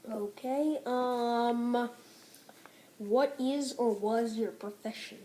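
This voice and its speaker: Slow, high, soft-edged female interviewer. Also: low-quality recording. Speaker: interviewer for a school project. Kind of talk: reading prepared interview questions.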